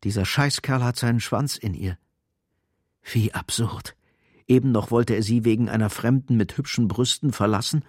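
A man's voice: medium pitch, normal speed, darkish tone, no room sound, studio quality, natural voice. A man giving a grim, intense scripted narration of a crime story in a German radio drama. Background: music.

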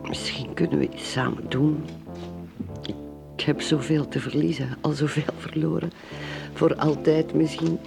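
An actress playing a weary, despairing alcoholic woman confessing haltingly in a radio drama. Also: deep voice, fairly even intonation, gravelly, full character voice. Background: music.

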